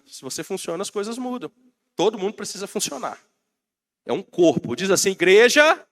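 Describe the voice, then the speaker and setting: A man's voice: sits high, a bit bright. A Brazilian man preaching a sermon as pastor, rousing and calling out to his congregation, while he has a bad cold. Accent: Brazilian Portuguese.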